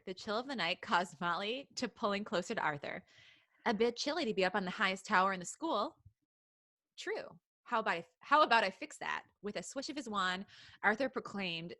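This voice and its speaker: Bright voiced woman reading a story out loud on a podcast, goofy and giggly, really dry close sound. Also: medium pitch, animated, moderate pace, dry recording. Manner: playful, giggly, digresses from the reading. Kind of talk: casual read-aloud of fan fiction with banter.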